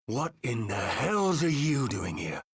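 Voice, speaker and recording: An actor playing a gruff, distrustful hero in a video game, blunt and dryly exasperated. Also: male voice, mid-range voice, very animated, slow pace, dry recording, studio quality, heavily acted. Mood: angry, suspicious. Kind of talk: video game cutscene acting.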